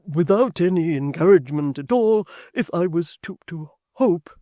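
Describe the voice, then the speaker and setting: A man voicing a bashful, flustered young gentleman who stammers, in a storybook reading. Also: on the high side, lively intonation, moderate pace, no echo, studio quality, pitched above the performer's own voice, lightly acted.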